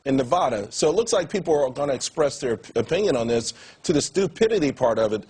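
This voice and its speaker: A man with a mid-pitched, husky voice argues quickly on a studio panel. The words come fast and pressing. He builds each point and pushes on. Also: expressive, a little mellow, low-quality recording. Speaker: talk show panel guest.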